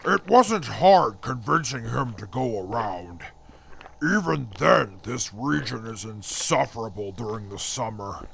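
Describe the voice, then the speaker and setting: Man playing a very gravelly, stern wasteland scout. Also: mid-range voice, lively intonation, a little slow, a bit bright, slight background noise. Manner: stern, dry, condescending, formal wording. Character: gruff, disdainful ex-army wasteland scout, male. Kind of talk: post-apocalyptic audio drama acting.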